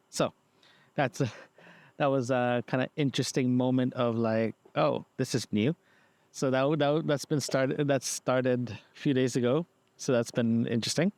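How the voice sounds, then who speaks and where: A man with a medium-pitched voice talks through a casual audio diary. The sound is dry and free of echo, recorded outside in a park. He rambles haltingly, often doubling back and repeating his words as he goes. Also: a little mellow. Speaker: podcast host. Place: outdoors in a park.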